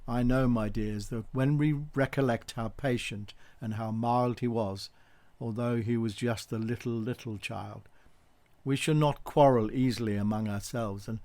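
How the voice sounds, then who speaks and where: A man voicing a humble, grieving father in a storybook reading, gentle and earnest, repeating himself with feeling. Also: mid-range voice, fairly even intonation, a little slow, no echo, close to an everyday voice. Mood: tender. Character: tender, grieving father, humble and kindly clerk.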